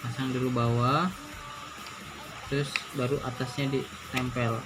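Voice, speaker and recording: A man's voice: mid-range voice, little pitch movement, a little slow, poor audio. Man, casual product reviewer, informal thinking-aloud delivery, music in the background. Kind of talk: casual unboxing commentary.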